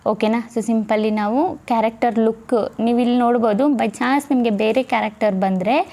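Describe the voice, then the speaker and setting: A woman's voice: a little high, fairly even intonation, moderate pace, dark tone. A woman presenting a casual step-by-step tutorial, instructing and often checking in with listeners. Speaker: tutorial host.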